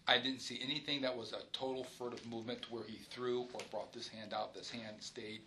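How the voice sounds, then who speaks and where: A middle-aged police officer answering questions in a formal interview, firm and insistent. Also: male voice, mid-range voice, flat delivery, fairly quick, background noise.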